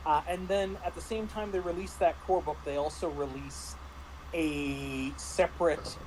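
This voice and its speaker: A man in a casual review discussion, talking haltingly with repeated words and false starts. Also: fairly high pitch, steady tone, a little mellow, a little hiss or hum, poor audio. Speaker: panelist reviewing a tabletop roleplaying game.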